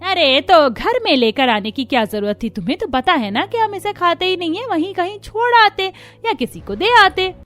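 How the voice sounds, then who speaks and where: A woman's voice: very high voice, animated, normal speed, few pauses, fairly bright tone, soft background music, voice pushed up. A woman playing a bossy, stubborn and proud mother-in-law in a cartoon story, with a scolding manner. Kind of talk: Hindi cartoon story acting.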